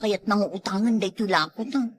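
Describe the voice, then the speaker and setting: A woman's voice: mid-range voice, brisk, a little hiss or hum, close to an everyday voice. A woman with an Ilocano accent playing a nagging, complaining wife in a village comedy drama. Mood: grumbling.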